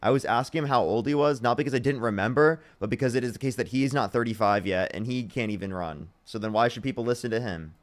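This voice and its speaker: Fast-talking young man hosting a debate stream. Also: medium pitch. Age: young adult. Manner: rapid-fire, repeats screening questions, blunt. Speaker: livestream debate host. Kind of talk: live-stream debate and guest screening.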